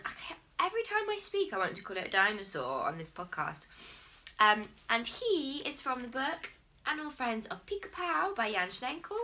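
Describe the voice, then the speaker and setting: This is a croaky-voiced English woman in her thirties, talking straight to the camera at home. Her voice is bright and lively, with a somewhat high pitch, and she chats in a friendly way with lots of ums. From England. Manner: chatty and friendly, many ums. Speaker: crochet podcast host. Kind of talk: casual solo video podcast.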